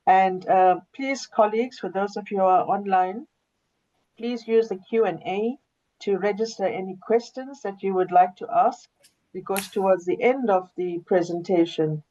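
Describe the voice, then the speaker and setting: A woman hosting a webinar, introducing her guest in a measured way with many pauses, over a video call. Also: fairly low pitch, dark tone, highs cut off. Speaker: host.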